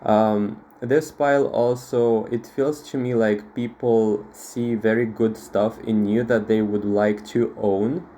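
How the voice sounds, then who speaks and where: A man's voice: on the low side, steady tone, slow, few pauses, warm tone, a little hiss or hum. A man from Moldova with a Russian accent, a tarot reader talking casually to camera, with many fillers and restarts.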